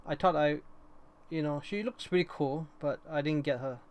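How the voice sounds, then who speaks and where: A man's voice: on the high side, normal speed. A man chatting casually and commenting as he live streams a game, rambling a little and repeating phrases. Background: faint noise, keyboard typing.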